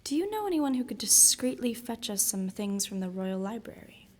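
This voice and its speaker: A woman's voice: on the high side, very animated, normal speed, darkish tone. A woman playing a composed, well-bred young noblewoman in a fantasy audio drama, speaking formally and politely. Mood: discreet, hushed. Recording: long reverb.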